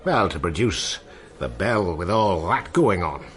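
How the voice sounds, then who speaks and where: A man's voice: deep voice, sing-song, unhurried, a bit bright, heavily acted. An actor playing a seasoned, wistful seaman in a radio drama, telling tales in a musing, colloquial way. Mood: hushed awe, intense. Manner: musing, colloquial storytelling with seafaring turns of phrase. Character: seasoned old seaman, wistful, salty storyteller.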